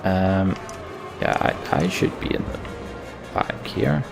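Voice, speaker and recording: A man's voice: low voice, takes it slowly, creaky. A man commentating casually on a video game as he plays, thinking aloud and reading story text out. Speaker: let's play video host. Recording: game music behind the voice. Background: video game music.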